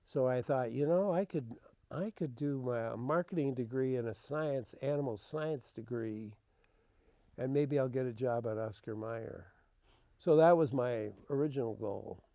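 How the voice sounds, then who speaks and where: An older American man speaks in a warm, relaxed voice with a smooth, resonant finish. He is a long-time radio host reminiscing conversationally in a close, dry studio. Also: mid-range voice, a little slow, no echo. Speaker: veteran public radio host.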